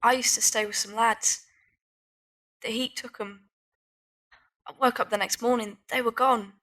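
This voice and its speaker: A woman's voice: fairly high pitch, fairly even intonation, measured pace, a bit bright. A woman playing a wary, plain-spoken young street dweller of Victorian London in an audio drama. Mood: subdued.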